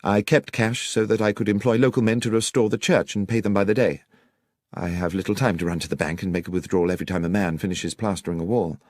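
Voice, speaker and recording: A man's voice: low-pitched, steady tone, fast talker, a little mellow, no echo, clean studio sound, voice pushed down. A man voicing an anxious, courteous young country clergyman in an audiobook, speaking in articulate, polite, wordy sentences. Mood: anxious, explaining. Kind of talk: audiobook character dialogue.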